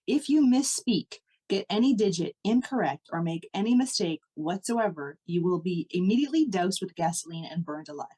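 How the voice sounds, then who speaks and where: A woman co-hosting a casual podcast, chatting in a relaxed, dry way and reading question cards aloud. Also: fairly low pitch, moderate pace, few pauses, husky, low-quality recording. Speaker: podcast co-host.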